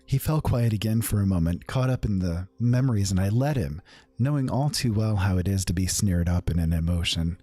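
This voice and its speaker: A man telling a first-person fantasy story in a calm, unhurried, conversational way. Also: low-pitched, no room sound.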